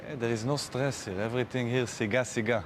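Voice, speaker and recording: A man with an Israeli accent, a restaurant owner answering interview questions in simple, halting English. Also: mid-range voice, steady tone. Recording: clear ambient noise.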